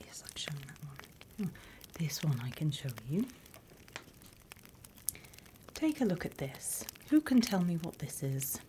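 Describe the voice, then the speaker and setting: British woman, ASMR roleplay instructor, breathy whisper, unhurried pace, gentle delivery, noticeable hiss. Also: sits low, sing-song. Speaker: ASMR roleplay performer playing a demons' class instructor. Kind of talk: ASMR roleplay, whispered and soft-spoken lesson.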